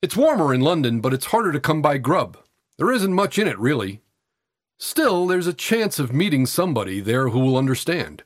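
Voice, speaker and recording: A man voicing a frail eighteen-year-old tramp boy, cocky, weary and defiant, with husky, breathless, colloquial working-class speech. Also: a little high, sing-song, normal speed, dry recording, clean studio sound. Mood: knowing, world-weary.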